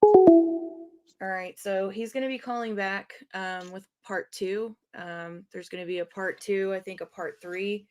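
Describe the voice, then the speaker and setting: A woman's voice: mid-range voice, a little slow, mellow. A woman hosting a livestream in casual, conversational chat. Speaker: stream host taking a call. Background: music behind the voice.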